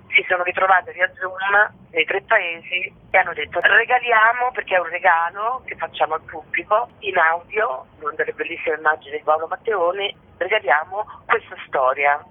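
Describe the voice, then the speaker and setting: A woman from Italy, a theatre actor and director, talking discursively and emphatically as a radio interview guest. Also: lowish voice. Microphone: phone call to a radio show. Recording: narrow, tinny phone line.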